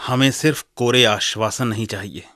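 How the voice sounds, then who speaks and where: A militant young student leader in a radio drama, acted by a man. His voice is bright and tense in a dry recording, and his delivery is combative, with a sarcastic edge. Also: on the low side, moderate pace, no room sound, natural voice. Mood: defiant. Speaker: actor.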